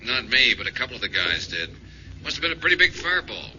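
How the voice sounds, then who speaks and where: An actor playing a brisk male fire dispatcher talking over the radio, in 1950s radio drama style. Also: mid-range voice, very animated, bright, forceful tone. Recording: dull noisy old radio broadcast.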